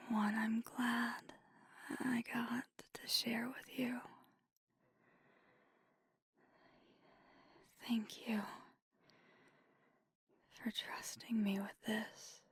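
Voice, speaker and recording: Breathy whispering vampire girlfriend, acted by a woman. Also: on the high side, exaggerated voice. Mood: tender, grateful. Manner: slow, halting, intimate delivery with long pauses. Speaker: ASMR roleplay performer. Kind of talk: intimate ASMR girlfriend roleplay. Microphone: close, dry microphone.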